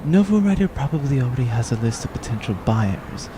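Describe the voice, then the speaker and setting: A man playing a dry, unflappable space pirate's henchman, deadpan and measured, in a comic sci-fi audio drama. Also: medium pitch, noisy background, lightly acted. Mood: calm, wry.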